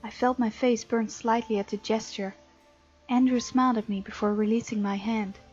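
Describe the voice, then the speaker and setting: Female let's player, fairly high voice, playful narrator-reactor; mildly muffled recording, light game music underneath. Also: fairly even intonation, normal speed. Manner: playful, reacts out loud to characters. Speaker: gaming video host. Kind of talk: let's play, reading game text aloud with casual reactions. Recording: slightly dull sound, music behind.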